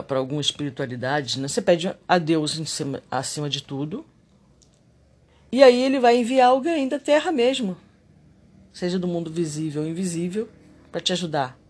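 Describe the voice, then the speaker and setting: A man giving a reflective, confessional monologue on spirituality and daily life. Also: fairly high pitch. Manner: reflective and confessional, animated when irritated.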